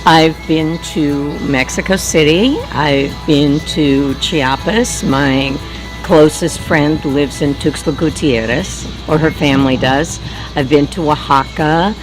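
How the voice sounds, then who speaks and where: A woman's voice: sits low, slow, music in the background, poor audio. A woman with an American accent giving casual answers and checking what she is being asked. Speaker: interviewee.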